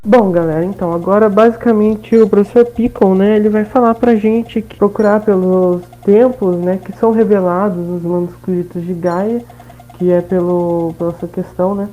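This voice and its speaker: Brazilian female gameplay commentator: casual, mid-pitched, informal, slightly lo-fi recording. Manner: informal, frequent tag questions. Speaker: gaming video host. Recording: dull, lo-fi sound, faint game music.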